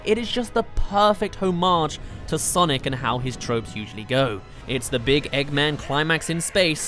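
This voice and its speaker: A man hosts a scripted video game countdown. His voice sits a bit high and swings widely in pitch as he emphasises his points with open enthusiasm. Music plays behind the narration. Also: a bit bright. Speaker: video game reviewer and channel host.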